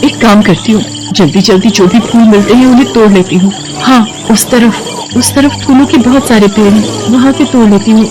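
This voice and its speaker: Woman playing a gentle, high-voiced poor girl. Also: a little fast, over music, close to an everyday voice. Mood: fearful. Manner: polite, earnest. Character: gentle, timid, kind poor young flower-seller girl. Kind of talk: Hindi moral storybook acting.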